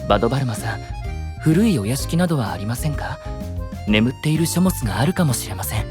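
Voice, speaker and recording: Man voicing a courteous, composed gentleman knight. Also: mid-range voice, sing-song, quick pace, over music, natural voice. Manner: formal, polite, refined. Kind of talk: Japanese anime audio drama acting.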